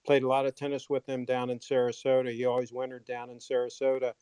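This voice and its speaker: Smooth, flat-toned grateful man. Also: medium pitch, fast talker, dry recording. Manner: earnest and grateful, steady. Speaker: audience caller.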